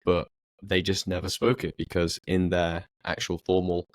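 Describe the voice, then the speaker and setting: A man who teaches English, co-hosting a podcast and explaining things calmly and evenly. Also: low voice, moderate pace. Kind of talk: casual chat.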